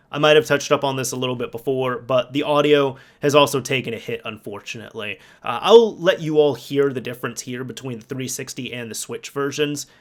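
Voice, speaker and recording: A man hosts a casual gaming video in a conversational, emphatic way. His voice sits at an average pitch, and he sounds like an exasperated player explaining his complaints. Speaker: YouTube game commentator. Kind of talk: casual video commentary on games.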